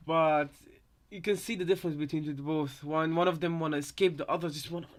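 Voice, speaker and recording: A man with a slightly high voice talks casually as a live streamer. He rambles through his thoughts in a hesitant way, circling back and repeating phrases as he goes. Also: fairly even intonation, a little fast. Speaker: live streamer reacting to game content. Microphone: headset.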